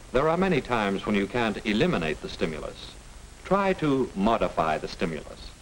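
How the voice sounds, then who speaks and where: A middle-aged American man reading scripted narration as a lecturer, didactic and deliberate. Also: on the low side, rough recording. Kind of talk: scripted educational film narration. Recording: hissy 1950 film soundtrack.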